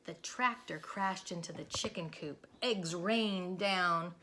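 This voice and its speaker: A woman narrating a children's storybook aloud in a deliberate, expressive school read-aloud style. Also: lowish voice, slight background noise. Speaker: elementary school teacher reading aloud.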